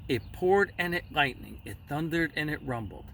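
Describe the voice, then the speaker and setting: A man telling a children's story expressively, with dramatic, measured phrasing. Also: a little high, expressive, vocal fry, fairly bright tone. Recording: slightly distant mic, faint background noise.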